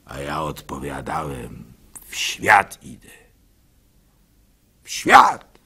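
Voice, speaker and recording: An actor playing a gentle, weary old wandering simpleton telling his story in a theatrical, drawn-out radio monologue, with a husky, gravelly voice. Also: rumbling low, expressive, voice pushed down, heavily acted. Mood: reflective. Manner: theatrical, slow, widely swinging delivery with drawn-out words. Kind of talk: dramatic first-person monologue, radio play.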